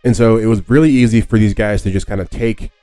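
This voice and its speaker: A man with a low, mellow voice hosts a history podcast. He explains things casually, feeling his way through sentences and often rewording as he goes. Faint music sits behind him. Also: fairly even intonation. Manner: halting, conversational, often rephrasing himself. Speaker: podcast host.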